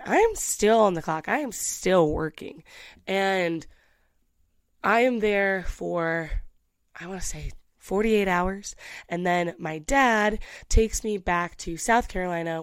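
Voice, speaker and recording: A woman's voice: fairly low pitch, no echo. A woman in her twenties chatting candidly to the camera, with lots of restarts and asides. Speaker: vlogger. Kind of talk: casual storytelling to camera.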